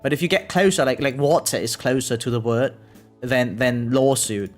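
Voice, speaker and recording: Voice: medium pitch, normal speed. A game streamer chatting casually and thinking aloud in a rambling way, often checking in with a questioning tag. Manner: rambling, repeatedly tags sentences with "right?". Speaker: variety game live streamer. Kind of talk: casual live stream chat, thinking aloud while gaming. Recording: music playing behind the voice. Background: background music.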